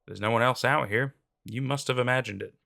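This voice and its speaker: A man voicing a hard, blunt criminal who curses with flat contempt, with faint music behind. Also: mid-range voice, fairly quick, creaky. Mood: cold.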